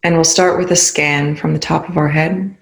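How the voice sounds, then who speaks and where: A meditation guide leading a session in a soothing, unhurried manner with long pauses between phrases. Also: medium pitch, steady tone, gravelly, a bit bright. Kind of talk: guided meditation, spoken slowly.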